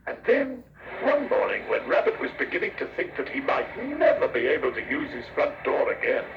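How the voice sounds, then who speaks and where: A man's voice: high voice, huge pitch swings, moderate pace, distant mic, heavy background noise. A man narrating a scripted children's story as the storyteller. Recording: dull cassette, roomy, music behind.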